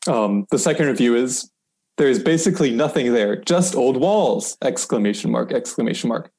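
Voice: mid-range voice, lively intonation, normal speed, darkish tone. A podcast guest chatting casually and reading short reviews aloud with dramatic emphasis.

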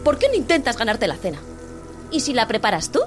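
Casting: woman as worn-out, reproachful working wife, high voice, sarcastic bite, radio drama with music underneath. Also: huge pitch swings, fairly quick. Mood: indignant, bitter. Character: weary, sharp-tongued working-class wife, scolding and worried. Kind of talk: dramatic audio drama acting in Spanish.

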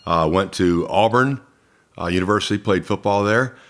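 A man's voice: deep voice, normal speed, a bit bright. A man in his fifties from Georgia with an American accent, chatting casually as an interview guest who announces sports. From Columbus, Georgia, United States. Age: 50s.

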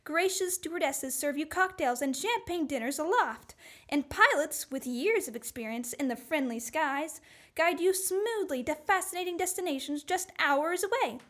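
A woman's voice: high-pitched, expressive, normal speed, a bit bright. A woman playing a polished, enthusiastic announcer reading a vintage airline radio commercial.

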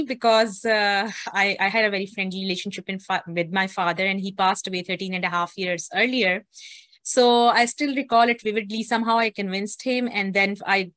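A woman's voice: medium pitch, a bit bright, no room sound. A woman, an interview guest telling her life story earnestly, with frequent fillers. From Pakistan.

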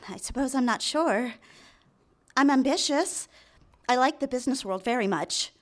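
A nervous young secretary in a radio play, with a high-pitched voice. She is eager and deferential, tripping over her words around the boss she adores. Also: lively intonation. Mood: earnest, admiring. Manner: flustered, halting, deferential. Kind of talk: live radio drama acting with first-person narration. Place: theater stage, live show.

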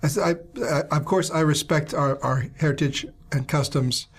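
A player voicing an earnest Egyptian man who grew up partly in England, talking in a halting way. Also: mid-range voice, fairly even intonation, husky, voice pushed down.

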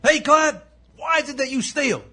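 A man's voice: extremely high pitch, moderate pace, heavy background noise. A man playing a bossy, exasperated gunslinging hero in a comic western audio drama. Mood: calling out. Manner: commanding, blustery.

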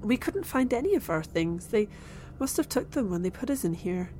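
An actress playing a calm woman held captive on an alien ship, speaking in a soothing, steady way. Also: mid-range voice, normal speed, soft background music, lightly acted. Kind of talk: audio drama acting.

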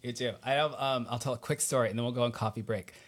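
A man's voice: medium pitch, steady tone, fast talker. A man hosting a podcast in a casual, chatty style, informal and effusive, often repeating words for emphasis. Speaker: podcast host. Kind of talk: casual chat, personal anecdote.

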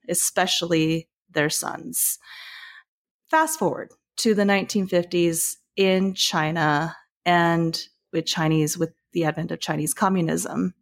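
A woman from Taiwan with a Taiwanese accent, a filmmaker guest on a podcast, explaining thoughtfully and sometimes restarting mid-phrase. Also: on the low side. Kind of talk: podcast discussion.

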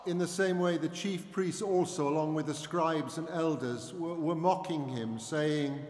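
A man's voice: a little high, measured pace, darkish tone. A man narrating scripture as a solemn dramatic reading in a reverberant hall. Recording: echoey hall with long reverb.

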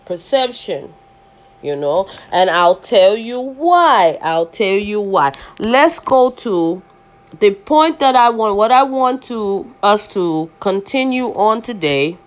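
A woman hosting a faith-based podcast as an apostle, with a loud voice, talking in a rambling way with many restarts and fillers. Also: mid-range voice, animated, slow pace, highs cut off.

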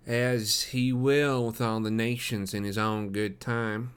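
A man's voice: fairly low pitch, fairly even intonation, slow, clean tone. A nasal, coarse young man full of pious self-satisfaction, put on by a male narrator. He is a smug storybook suitor who speaks in sanctimonious tones.

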